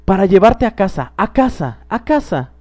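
A man voicing a small, excited girl, pitching his voice high and chirpy in an audiobook reading. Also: moderate pace. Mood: overjoyed. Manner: high chirpy childlike delivery, breathless with joy. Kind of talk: audiobook character voice.

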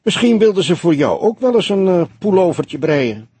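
A man voicing a shrewd, teasing old police detective in an audiobook, with a probing, deliberate way of talking. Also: sits high, very animated, warm tone, husky, no room sound, pitched above the performer's own voice, heavily acted.

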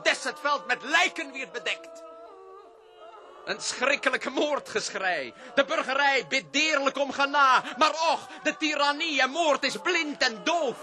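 Bloodthirsty commander, grandiose verse declamation, very high strained pitch, noisy radio recording. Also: male voice, bright, forceful tone, heavily acted. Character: boastful, bloodthirsty old-time military commander. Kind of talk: classical verse drama on radio.

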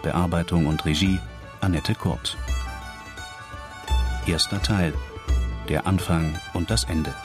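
A man's voice: low voice, fairly even intonation, takes it slowly, long pauses. A man announcing radio drama credits in a formal, sober manner. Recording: announcement over music.

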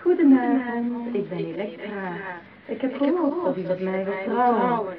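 A woman's voice: on the high side, lively intonation, measured pace. A woman in a radio play voicing a young artificial bride, formal and polite. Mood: polite, dutiful. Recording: muffled radio play with faint noise.

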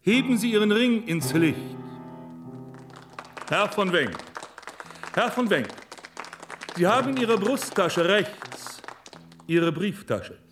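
A theatrical mind reader in a radio play, his high voice rising and swooping. He is polite yet imperious and takes his time, with piano music playing behind him. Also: big pitch swings, fairly bright tone, a faint crowd, heavily acted. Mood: assured, commanding. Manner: deliberate, formal, polite but imperious. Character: commanding male stage hypnotist and mind reader, suave showman. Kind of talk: radio drama acting.

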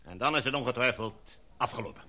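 A man's voice: mid-range voice, expressive, heavy background noise, natural voice. Een man die in een hoorspel een formele, gezaghebbende expeditieleider speelt en afgemeten, redenaarsachtig spreekt. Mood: resolute. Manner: formal, oratorical, measured. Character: authoritative, formal expedition leader, a knighted gentleman. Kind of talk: radio drama acting.

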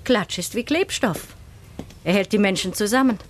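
An actress playing a shrewd, proper spinster in a German radio play, formal, measured and coolly probing. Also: female voice, mid-range voice, lively intonation, a little hiss or hum, natural voice. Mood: calm, kindly. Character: composed, proper, shrewd older spinster investigating.

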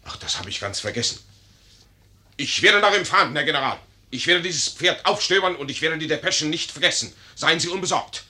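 An actor playing a brash, carefree, boastful young lieutenant in a 1950s German radio play. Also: male voice, fairly high pitch, animated, a little fast, pushed and bright. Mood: blustering.

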